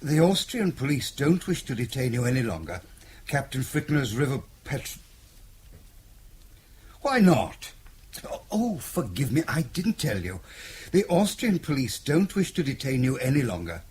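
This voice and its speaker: An actor playing an urbane, calculating British intelligence chief in an audio drama, polite and matter-of-fact. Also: male voice, medium pitch, big pitch swings, unhurried, darkish tone. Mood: apologetic, affable. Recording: faint background noise.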